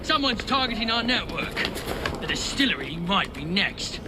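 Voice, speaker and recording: High-pitched Victorian gang lookout. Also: male voice, big pitch swings, normal speed, heavy background noise. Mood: wary. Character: watchful Victorian gang lookout barking warnings. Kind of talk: video game voice acting.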